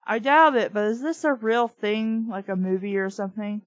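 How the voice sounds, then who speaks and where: A woman's voice: medium pitch, fairly even intonation, moderate pace, no echo. A woman reading a story aloud, breaking in with blunt, sarcastic, casual asides. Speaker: audiobook reader and commentator.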